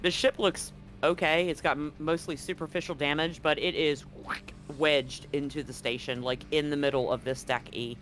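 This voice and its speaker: A man's voice: on the high side, fairly even intonation, normal speed, few pauses, fairly bright tone. A man working as game master, narrating a tabletop roleplaying game in a conversational, explanatory way. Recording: music playing under the voice. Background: background music.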